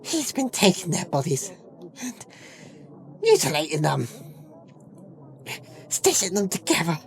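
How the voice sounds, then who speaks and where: An actress playing a shaken but resolute celestial warrior in a fantasy audio drama, intense and dramatic. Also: female voice, on the low side, big pitch swings, measured pace, creaky, slight background noise, full character voice. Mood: shaken, grave.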